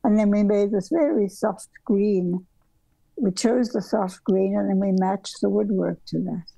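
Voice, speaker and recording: A woman's voice: medium pitch, a little slow, vocal fry, dark tone, no room sound, rough recording. An older woman from southern Africa, a guest architect, talking in a meandering, reflective way over a video call from her home workroom, with frequent restarts. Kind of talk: reflective conversational storytelling. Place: home bedroom workroom, indoors.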